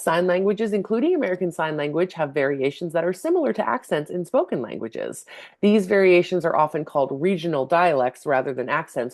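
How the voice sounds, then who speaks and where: Low-voiced Canadian woman chatting. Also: a little mellow. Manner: chatty, laughs often. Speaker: podcast co-host, language teacher. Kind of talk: casual chat.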